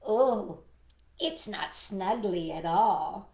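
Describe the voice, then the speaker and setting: Woman voicing dismayed storybook animals, very slow and drawn-out. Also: mid-range voice, expressive, gravelly, dark tone, echoey room, voice pushed down, exaggerated voice. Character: dismayed little woodland animals in a picture book.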